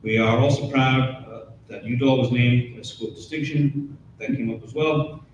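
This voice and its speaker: A man reads a committee report steadily, with the odd uh. He sounds distant from the microphone in a strongly echoing meeting room with a faint rumble. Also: moderate pace, dull audio. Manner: steady, matter-of-fact, occasional uhs. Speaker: board member, committee chair. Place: school board meeting room.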